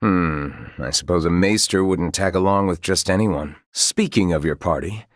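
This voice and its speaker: A man's voice: low voice, moderate pace, no echo, clean studio sound, natural voice. A man playing a noble, confident knight officer in a video game, speaking in formal, old-fashioned courtly phrases with good humor. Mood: composed, gracious. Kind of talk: video game character dialogue.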